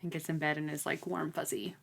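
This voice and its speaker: A woman's voice: low-pitched, level tone, fairly quick, creaky, a bit bright, slightly rough. A woman co-hosting a podcast, talking through a book in a casual, informal, conversational way. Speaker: podcast co-host.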